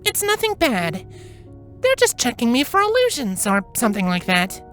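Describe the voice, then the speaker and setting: A woman's voice: on the high side, wildly swooping pitch, moderate pace, fairly bright tone, faint music behind. A woman voicing an anxious young female winged pony who speaks in an earnest, soothing way in a dramatised story reading. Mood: uneasy.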